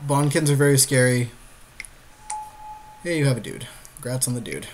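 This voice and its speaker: A man's voice: mid-range voice, normal speed, slight background noise. A man co-hosting a gameplay podcast, chatting casually and jokingly in a wry way. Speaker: podcast co-host watching an online card game.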